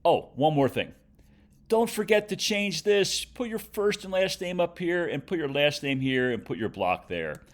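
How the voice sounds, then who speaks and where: A man speaking as a teacher, giving an informal on-screen walkthrough of instructions in a careful, step-by-step way with halting phrases and stressed key words. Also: a little high, big pitch swings. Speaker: teacher giving homework directions.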